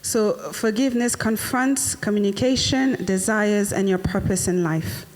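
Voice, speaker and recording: A woman interpreting a preacher's sermon phrase by phrase, in a plain and steady way. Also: medium pitch, a little slow, low-quality recording. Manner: renders each phrase promptly, plain and steady.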